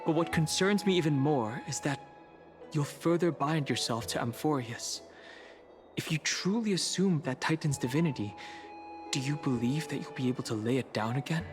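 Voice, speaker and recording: An actor playing a cautious, loyal young traveling companion in a video game, speaking in a measured, thoughtful way. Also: male voice, mid-range voice, close to an everyday voice. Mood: concerned. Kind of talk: video game voice acting, conversational dialogue. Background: background music.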